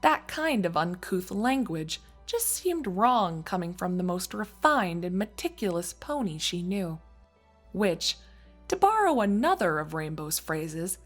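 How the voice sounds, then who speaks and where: Lively, slightly low-pitched woman narrator. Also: unhurried, faint music behind. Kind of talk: scripted story narration.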